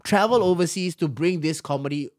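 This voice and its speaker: Man hosting, quick to affirm. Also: a little high, no room sound, studio quality. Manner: ribbing, affirming, lots of "right, right". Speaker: podcast host. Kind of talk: casual podcast chat.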